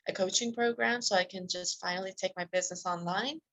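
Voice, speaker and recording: A woman from Iran, a self-discipline coach, answering interview questions candidly with soft laughs. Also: medium pitch, steady tone, fairly quick.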